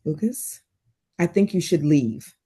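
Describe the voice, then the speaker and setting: Lady acting worried therapist in a drama read, dark mellow voice, careful and understated. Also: on the low side, lively intonation, unhurried, a little hiss or hum. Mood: guarded, uneasy. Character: composed, worried female therapist. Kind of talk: dramatic script table read.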